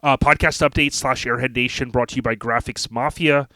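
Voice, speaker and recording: A male podcast host with a mid-pitched voice, recorded close and dry. He chats casually and slips into sponsor reads, talking in a folksy, slangy manner. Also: steady tone, brisk, pushed and bright, dry recording.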